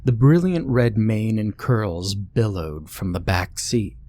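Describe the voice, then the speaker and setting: A man's voice: on the low side, very animated, takes it slowly, mellow. A man reading a scripted horror story as its narrator.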